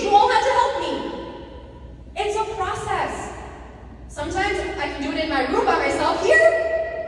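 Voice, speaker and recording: High-pitched woman, earnest religious speaker, emphatic repetition, very echoey hall, distant mic. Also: slight background noise. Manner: earnest, emphatic, repeating phrases for weight. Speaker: Islamic religious speaker and teacher. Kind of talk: religious lecture to a live audience. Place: large echoey hall, live talk.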